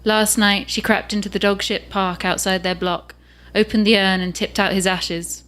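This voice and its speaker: A woman's voice: mid-range voice, level tone, fairly bright tone. Woman reading fiction aloud. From England. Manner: measured and unhurried. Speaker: author reading her own work.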